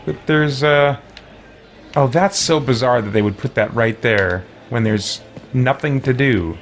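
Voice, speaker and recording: A man's voice: mid-range voice, a little slow, soft background music. Grumbling, wry male game streamer. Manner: grumbling, wry, muttering to himself. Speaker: video game streamer playing and reacting. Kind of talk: casual let's play commentary.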